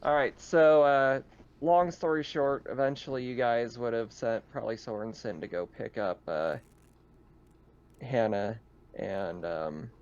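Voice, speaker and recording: A man's voice: fairly low pitch, darkish tone. A man acting as game master for a tabletop roleplaying game, casually explaining the rules over an online voice chat. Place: online voice call. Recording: slightly noisy, dull online voice-chat audio.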